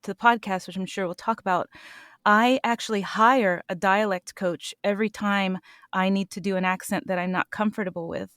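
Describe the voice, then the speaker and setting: A woman voice actor answering interview questions in an earnest, animated way, laughing now and then. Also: medium pitch, normal speed, smooth, no echo, clean studio sound. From United States. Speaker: voice actor guest.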